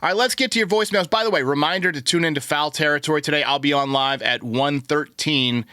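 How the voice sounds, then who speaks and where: A man's voice: a little high, fast, bright, forceful tone, smooth, dry recording, clean studio sound. A man hosting a sports show, giving conversational baseball commentary to his listeners. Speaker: sports podcast host.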